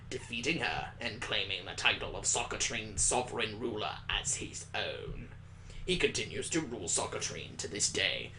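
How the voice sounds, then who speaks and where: A man voicing a grave, measured schoolteacher who lectures in a formal, deliberate way in a visual novel. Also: on the low side, pushed and bright, slight background noise, full character voice, rough recording.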